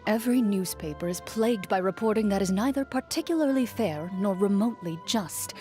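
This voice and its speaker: An actress playing a cool, composed young woman, a champion duelist in a fantasy video game, speaking in a measured, formal and restrained way. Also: medium pitch, a little mellow, close to an everyday voice. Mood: calm, serious. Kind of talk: scripted video game character voicelines.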